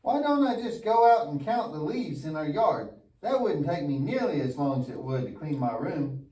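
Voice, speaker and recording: A man's voice: on the high side, sing-song, moderate pace, dark tone. A man playing a goofy, lazy, mischievous young boy puppet in a church show, with an exaggerated, wisecracking delivery. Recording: echoey room, distant mic.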